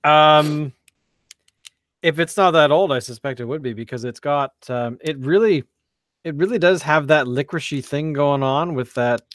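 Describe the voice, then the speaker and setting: A man with a fairly high voice hosts a casual beer review podcast. He is excitable and jokey and often leaves sentences unfinished. The sound is dry and close to the mic. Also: moderate pace, no echo. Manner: excitable, joking, trailing off mid-sentence. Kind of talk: casual chat.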